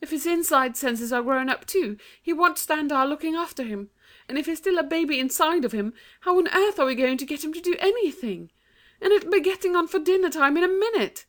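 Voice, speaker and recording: A woman's voice: high voice, expressive, brisk, a bit bright. A woman playing an anxious, motherly young girl in a storybook, earnest and fretful as a protective sister. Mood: worried. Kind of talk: storybook dialogue acting.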